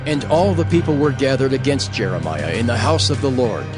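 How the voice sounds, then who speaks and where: A man narrating scripture from a script in a measured, formal way. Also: mid-range voice, music in the background.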